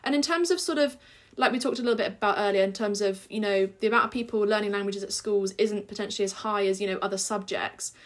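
A woman hosting a podcast interview, encouraging her guest and often saying "you know". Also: medium pitch. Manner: encouraging, quick-talking, frequent "you know". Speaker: podcast host.

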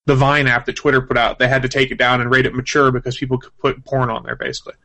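A man's voice: medium pitch, little pitch movement, quick pace, bright, forceful tone. A man hosting a casual podcast chat, explaining things at length, teasing his co-host, and reading out chat comments.

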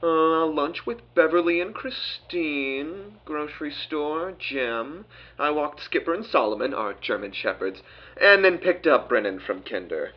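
A woman's voice: low voice, lively intonation, a little slow. A woman playing an entitled, oblivious customer in a comic audio drama, breezy and self-important over a phone. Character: entitled, oblivious retail customer, demanding parent. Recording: muffled lo-fi phone call.

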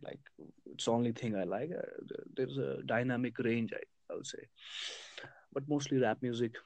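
A man's voice: mid-range voice, steady tone, moderate pace, a little mellow, dry recording. Hesitant man chatting, laughing easily. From Kashmir. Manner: hesitant, many fillers, laughs often. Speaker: conversation partner. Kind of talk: casual chat.

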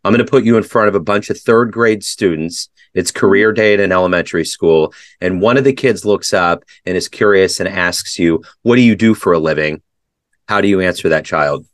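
A male interview host with a fairly low voice, recorded dry with no room sound. He asks friendly, open questions that prompt the guest to talk. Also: a little fast, no echo.

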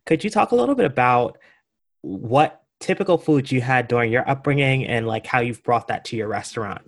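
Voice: medium pitch, expressive, a little fast. A podcast host opening the show from a script and then interviewing a guest with an upbeat, eager manner. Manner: upbeat, enthusiastic.

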